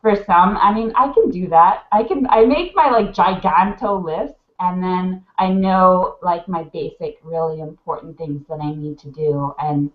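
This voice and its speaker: A woman's voice: lowish voice, a little slow, hardly any pauses. Sniffly woman gushing on a webcam call. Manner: gushing, rambling, many "like" fillers. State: sniffly from crying. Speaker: mentor on a live video hangout. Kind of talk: heartfelt casual advice chat. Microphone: webcam on a video call. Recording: roomy, dull webcam audio.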